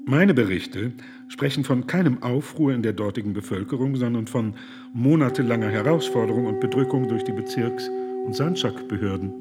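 A man's voice: medium pitch, moderate pace, music in the background, lightly acted. An actor playing an earnest German humanitarian in a radio play, pressing his accusations in a pointed, indignant way. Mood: firm.

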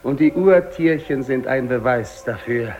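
Slow, solemn, solitary polar explorer played by a man. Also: medium pitch, darkish tone, highs cut off, lightly acted. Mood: recalling, earnest. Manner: slow, declamatory, with long pauses. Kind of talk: 1950s German radio drama monologue. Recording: noisy old radio drama recording.

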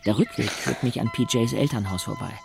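A man acting a wry young radio-drama narrator, introspective and dry. His mid-pitched voice has a gravelly creak, and music plays behind it. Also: brisk, close to an everyday voice. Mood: dry, reflective. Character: Fransje, wry young wheelchair-bound male narrator from a village.

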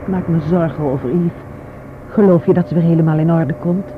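A woman's voice: low-pitched, fairly even intonation, brisk, lightly acted. An actress playing a concerned, thoughtful woman in a radio play, talking in a reflective, conversational way. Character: concerned, thoughtful woman friend back from travels. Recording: noisy, tinny narrow-band radio play recording.

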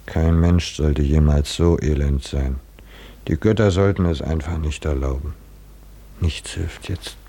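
An actor in a 1950s German radio drama playing a calm, consoling companion with a measured, solemn delivery. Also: male voice, extremely low pitch, breathy edge, full character voice. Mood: grave, consoling. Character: short-sighted male companion of Odysseus, calm and consoling.

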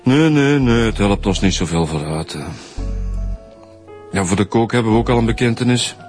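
An actor playing a calm, low-voiced Flemish detective. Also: male voice, normal speed, faint music behind, lightly acted. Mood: phoning. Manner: calm, soothing. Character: easygoing male police detective, calm and coaxing. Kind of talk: radio drama acting.

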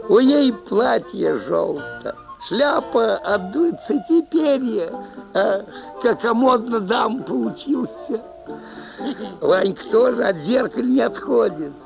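A man's voice: very high voice, big pitch swings, takes it slowly, gravelly, heavily acted, rough recording. A man playing a gossipy fairy-tale storyteller, narrating theatrically in a wry, rustic folk manner. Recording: music behind the voice.

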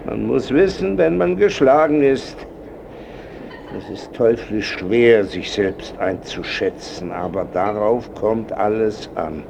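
An old man with a low, very animated voice, proud and cantankerous. He lectures and grumbles like someone used to being obeyed, in a radio play with clear noise behind him. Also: slow pace, heavily acted. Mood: reflective. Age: older. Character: imperious, cantankerous old male painter, blind and proud. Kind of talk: radio drama acting.

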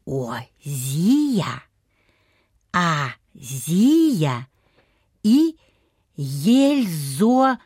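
A smug provincial lady in old radio-theatre style. Her voice is husky and her delivery leisurely, confidential and knowing, with quaint folksy turns of phrase. The recording is dry. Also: deep voice, very animated, extremely slow, long pauses, darkish tone, dry recording, heavily acted. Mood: confiding, self-important. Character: smug, confiding lady neighbour peddling folk cures. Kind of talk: Soviet radio theatre acting.

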